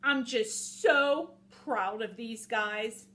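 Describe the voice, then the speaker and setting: A woman's voice: a little high, very slow, sharp tone, exaggerated voice. A woman voicing an earnest, encouraging and anxious male wolf in a children's storybook, eager and trusting. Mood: excited, proud. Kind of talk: children's storybook character reading.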